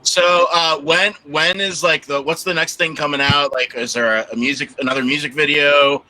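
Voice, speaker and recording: A man's voice: a little high, fairly even intonation, moderate pace, pushed and bright, a little hiss or hum, poor audio. A man co-hosting a casual podcast, asking questions hesitantly. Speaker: podcast co-host. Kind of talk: casual chat.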